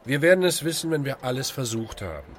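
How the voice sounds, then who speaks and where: A male actor plays a calm organiser of volunteers in a radio drama. His mid-range voice is dry and wry as he probes others. A faint background noise sits under the recording. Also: mid-range voice, quick pace, close to an everyday voice. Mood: firm.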